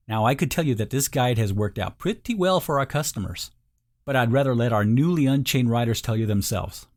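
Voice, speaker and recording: A man's voice: medium pitch, darkish tone. A man narrating a scripted sales voice-over, speaking directly and persuasively to the listener. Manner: direct, persuasive second-person address.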